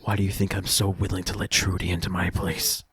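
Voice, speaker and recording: A man voicing a gentle, earnest robot who is sheepish and easily defensive, in improvised comedy. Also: low-pitched, level tone, fairly quick, a little hiss or hum, voice pushed down. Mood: lovestruck. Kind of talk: improvised comedy table read.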